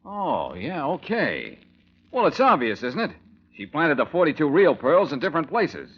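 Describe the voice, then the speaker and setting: A man playing a quick-thinking, wry American private detective, brisk and colloquial, in old radio drama style. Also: on the high side, huge pitch swings, breathy edge, heavily acted. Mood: evasive. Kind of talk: 1940s-50s radio detective drama acting. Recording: muffled old radio recording with music.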